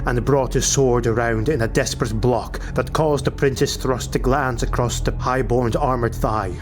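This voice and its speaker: A man narrating a fantasy audiobook in a measured, theatrical, dramatic style. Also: medium pitch, fairly even intonation. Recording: music behind the voice. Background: music.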